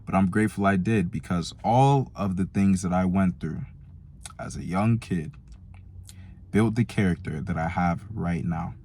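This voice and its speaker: Young American male, late teens, lower-pitched voice, casual motivational vlogger with slangy, buddy-to-buddy address. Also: a little slow, warm tone. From Nigerian-born, grew up in America from age four. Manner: slangy, direct address to young men, "bro" and "man". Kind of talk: casual motivational vlog monologue.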